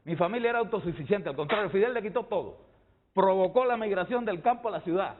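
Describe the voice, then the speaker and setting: A man's voice: high voice, fast talker, narrow, tinny audio. A middle-aged man from rural Cuba with a Cuban accent, giving emphatic personal testimony as a guest in a heated debate, repeating phrases for weight.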